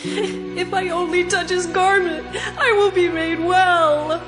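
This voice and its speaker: A woman's voice: very high voice, steady tone, takes it slowly. An actress playing a long-suffering, faithful woman in a dramatized audio Bible, tearful and yearning. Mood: tearful, hopeful. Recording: music behind the voice.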